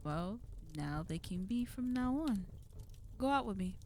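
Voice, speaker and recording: A woman's voice: mid-range voice, lively intonation, measured pace, darkish tone, a little hiss or hum. A woman playing a flirty, possessive villain in an ASMR roleplay, speaking intimately to the listener in a teasing, commanding way. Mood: flirty, coaxing.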